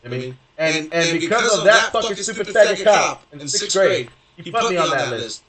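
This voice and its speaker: A man's voice: on the high side, expressive, moderate pace, a crowd in the background. Rambling, profane young man in echoey room. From Houston, Texas. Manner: profane, rambling, many fillers and restarts. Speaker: gaming streamer. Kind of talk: casual rambling storytelling. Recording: very echoey room, distant from mic.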